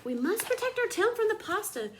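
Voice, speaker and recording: Alarmed villagers from a storybook, all acted by one woman. The voice jumps high and cries out with urgency and panic. The pitch swings are lively, over faint background noise. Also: fairly quick. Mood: panicked.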